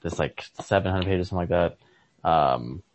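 A man in a casual book discussion, speaking haltingly as he searches for words, on a lo-fi recording. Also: low-pitched. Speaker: podcast host. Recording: lo-fi home recording.

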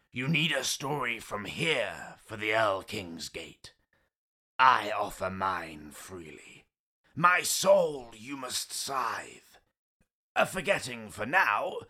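Bright, forceful, grand stone gargoyle. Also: male voice, lowish voice, very animated, slow pace, exaggerated voice. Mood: grave, solemn. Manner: grand, declamatory. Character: crumbling old male stone gargoyle, boastful and grumbling. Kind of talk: theatrical audio play acting.

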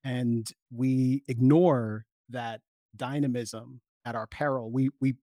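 A man speaking as an interview guest, making an explanatory argument in measured, articulate long sentences. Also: mid-range voice, steady tone, dark tone, no echo.

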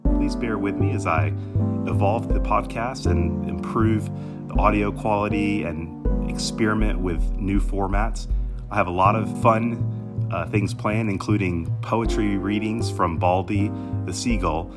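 A man's voice: fairly low pitch, a little slow, loud music behind the voice. A man speaking as a podcast host in a scripted introduction to a read-aloud.

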